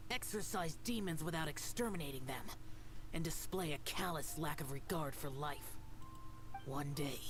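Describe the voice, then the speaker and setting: Stern guardian, cold and terse, solemn, game music behind. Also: male voice, on the high side. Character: stern, aloof young male demon-slaying guardian. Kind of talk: game cutscene voice acting.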